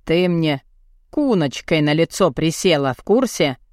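A gruff, burly, bearded man performed by a woman narrator speaks low in an audiobook. He is brazen and lecherous, with a blunt, mocking, commanding delivery. The sound is dry and close. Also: expressive, measured pace, a little mellow, no room sound. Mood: gruff, accusing. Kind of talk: audiobook character dialogue.

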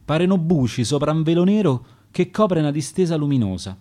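A man's voice: a little high, expressive, a little fast, mellow. Man declaiming verse in Roman dialect. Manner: rhythmic, declaiming rhymed verse. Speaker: poet reading his own verse. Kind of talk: dialect poetry reading.

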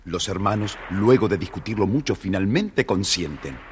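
A man narrating a children's fairy tale from a script in a theatrical, raspy voice. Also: low voice, animated, normal speed, slight background noise. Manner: theatrical storytelling.

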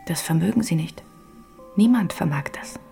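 An actress playing a melancholy centuries-old castle ghost in a radio drama, speaking in formal, archaic phrases with a breathy, often hushed voice. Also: female voice, fairly low pitch, lively intonation, normal speed, soft background music. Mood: wistful, solemn. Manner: formal, archaic, solemn diction.

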